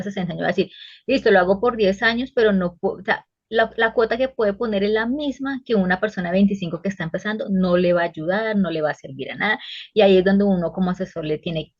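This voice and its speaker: A woman's voice: medium pitch, no room sound. Spanish-speaking woman advisor, dry lo-fi sound. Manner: explanatory, measured advice-giving. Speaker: financial advisor, podcast guest. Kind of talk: casual podcast interview.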